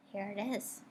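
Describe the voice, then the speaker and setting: A woman teacher reading a picture book aloud, expressive, pausing to put questions and asides to her young listeners. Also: medium pitch, husky. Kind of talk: read-aloud storytelling with asides to children.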